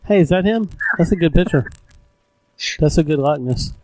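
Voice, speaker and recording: A man's voice: a little high, animated, normal speed, warm tone, no echo, low-quality recording. A man in his forties co-hosting a podcast in laid-back, joking chat. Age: 40s. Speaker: podcast co-host. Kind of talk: casual chat.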